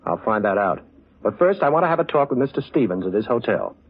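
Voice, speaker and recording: An actor playing a suave, unflappable American adventurer in breezy, wry old-time radio detective style. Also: male voice, lowish voice, sing-song, fast, slightly rough, full character voice. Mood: resolute. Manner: breezy, wry, self-assured. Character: suave, cocky, unflappable male adventurer sleuth. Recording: muffled old radio recording.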